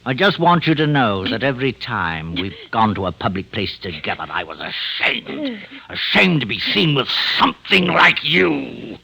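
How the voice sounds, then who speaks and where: An actor playing a nervous, spiteful doctor who poisons in secret, in a hesitant, mannered vintage radio suspense style. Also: male voice, mid-range voice, huge pitch swings, moderate pace, creaky, bright, forceful tone, heavily acted, rough recording. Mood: gloating spite. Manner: hesitant, mannered, theatrical, muttering and gloating. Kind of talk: old-time radio suspense acting and first-person narration. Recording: vintage radio drama recording, music behind.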